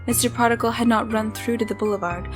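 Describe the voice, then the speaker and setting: An actress playing an earnest young woman of the 1870s in an audio drama, gentle and careful with formal wording. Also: fairly high pitch, fairly even intonation, fast talker, music in the background, natural voice. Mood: measured narration. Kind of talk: scripted audio drama with first-person narration.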